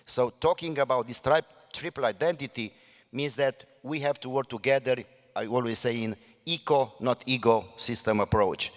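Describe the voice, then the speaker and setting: A man with a Romanian accent speaks in earnest, halting English, stopping often to search for words. His voice sits at an ordinary middle pitch. A long hall reverb trails after him, as at a conference. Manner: halting, many fillers and restarts, earnest. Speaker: mayor on a panel. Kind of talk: panel debate remarks.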